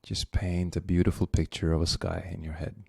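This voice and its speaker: A man leading a guided meditation as the guide, speaking gently and unhurriedly with long pauses. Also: deep voice, level tone, vocal fry, slightly rough, dry recording, clean studio sound.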